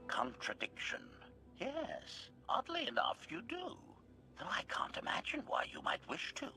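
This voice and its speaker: An actor playing a servile combat droid in a video game, speaking in formal, clipped announcements with a robotic filter and cool menace. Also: male voice, high voice, huge pitch swings, unhurried, vocal fry, sharp tone, whispered, soft background music, full character voice. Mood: formal, obedient. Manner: announces each statement type, formal and clipped. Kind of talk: video game character acting.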